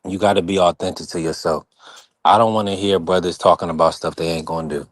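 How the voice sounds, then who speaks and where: A man's voice: low-pitched, steady tone, fast talker, dry recording. A man from North Carolina hosting a boxing stream, talking firmly and preachily in a heated casual chat. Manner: firm, preachy, talks over others. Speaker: channel host.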